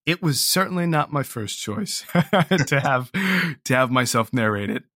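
A man's voice: medium pitch, animated, a little slow, a bit bright, no room sound, studio quality. A man giving a casual, reflective interview as a creator, talking hesitantly and with self-deprecation. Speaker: interview guest, audio drama creator.

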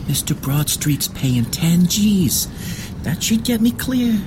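An actor playing a streetwise, earnest younger sibling with slangy, ungrammatical speech in an audio drama. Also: male voice, high-pitched, sing-song, slow pace, full character voice. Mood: defensive. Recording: clear background noise, vehicle ambience.

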